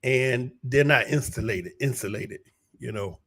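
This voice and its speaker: An American man gives a casual personal update in a hoarse voice. He speaks slowly, at a middling pitch, pausing and circling back as he goes. His delivery is folksy and unhurried, like someone explaining things to followers from home. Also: a bit bright. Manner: halting, unhurried, folksy storytelling. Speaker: vlogger giving a personal update. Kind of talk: casual monologue to viewers. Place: small room at home, a bomb shelter used as studio.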